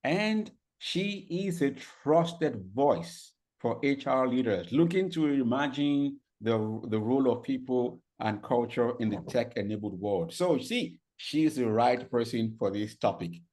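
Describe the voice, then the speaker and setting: Mellow-voiced man introducing a speaker. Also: mid-range voice, animated, a little slow, hardly any pauses. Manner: hesitant, many fillers. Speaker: conference moderator. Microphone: video call.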